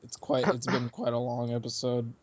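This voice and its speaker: A man's voice: medium pitch, steady tone, normal speed, no echo, muffled recording. A man chatting casually and jokingly as a regular on a podcast.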